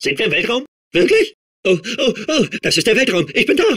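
A man playing a manic, childlike robot sphere obsessed with outer space in a video game, babbling and repeating himself in a strained, husky voice. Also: high-pitched, brisk, exaggerated voice. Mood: excited. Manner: excitable, babbling, repeating words compulsively. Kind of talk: video game character voice clips.

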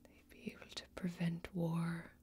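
A young woman playing a grave, weary fantasy warrior in an ASMR role-play, whispering slowly and deliberately in a breathy, brooding way. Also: fairly low pitch, completely flat delivery, dark tone, a little hiss or hum, close to an everyday voice. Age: young adult. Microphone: close microphone.

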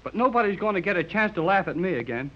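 A male actor playing a brash, excitable and indignant teenage boy in 1930s radio drama style. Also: sits high, fast talker. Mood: earnest, indignant. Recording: dull 1930s radio recording.

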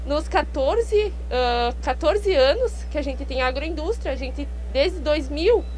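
Young southern brazilian woman chatting in an interview, high voice, bit muffled by mask, hum and noise behind. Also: lively intonation, slow, darkish tone. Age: young adult. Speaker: farmer, interview guest. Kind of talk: conversational live interview answers. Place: outdoors in a park at a fair. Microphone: handheld microphone. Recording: clear background noise and hum outdoors.

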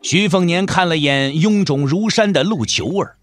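A man's voice: fairly high pitch, moderate pace, soft background music. A man narrating a scripted Chinese wuxia audio drama as storyteller.

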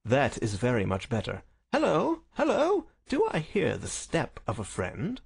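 A man's voice: medium pitch, wildly swooping pitch, unhurried, creaky, breathy edge, voice pushed up, exaggerated voice. A man voicing a brilliant, self-assured detective in a storybook reading, wry and theatrical, with precise, lofty courtesy. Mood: relieved, wry. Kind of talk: dramatised audiobook reading.

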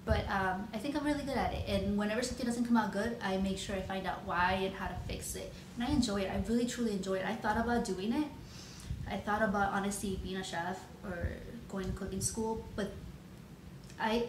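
A woman's voice: mid-range voice, a little hiss or hum. Chatty woman in her thirties, echoey room. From Chicago, United States. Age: 30s. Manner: chatty, rambling, frequent ums and likes. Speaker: nail artist vlogger. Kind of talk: casual Q&A vlog. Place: home room with shelves, indoors.

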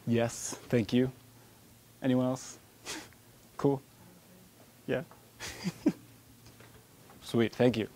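A man's voice: mid-range voice, steady tone, very slow, long pauses, dark tone. Young man, faint noise behind. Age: 20s. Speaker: prank video maker. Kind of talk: casual chat with passers-by.

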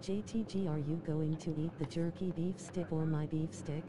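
A synthetic female voice reading out chat messages in a flat, literal way. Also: low voice, moderate pace, crowd noise. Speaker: text-to-speech chat reader. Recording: clear game noise behind the voice.

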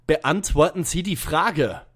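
Guy as a husky young detective, snarky and impatient, pitch moves around a lot, audio drama spoof. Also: animated, takes it slowly, a bit bright, heavily acted. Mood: snide. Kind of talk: parody detective radio play.

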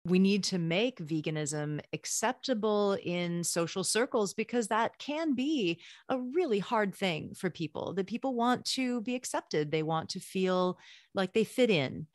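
A woman hosts a podcast and draws her guest out with questions and steady affirmations. Her voice sits at an average pitch and sounds close and dry, with no room echo. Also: a little slow. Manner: warm encouraging interviewer, frequent affirmations. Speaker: podcast host. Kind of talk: casual interview conversation.

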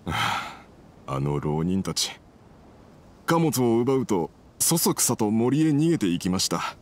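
An actor playing a polite, troubled foreign merchant in a Japanese game dub, formal, humble and apologetic. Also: male voice, on the low side, big pitch swings, a little slow. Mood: aggrieved.

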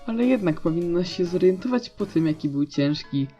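Man as a deadpan teenage schoolkid, dull recording. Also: high-pitched, a little fast. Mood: dry, deadpan. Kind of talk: fan-dub comic acting. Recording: dull lo-fi sound. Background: music.

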